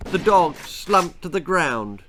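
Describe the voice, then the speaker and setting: Animated English man telling a story. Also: high-pitched, takes it slowly, a little hiss or hum. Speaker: author reading own story. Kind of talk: dramatic scripted storytelling for children.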